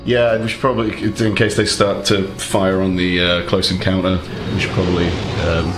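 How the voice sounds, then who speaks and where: A man's voice: low voice, flat delivery, moderate pace, soft background music. A man chatting casually and hesitantly as a player in a tabletop role-playing game. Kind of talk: casual, joking in-game chat.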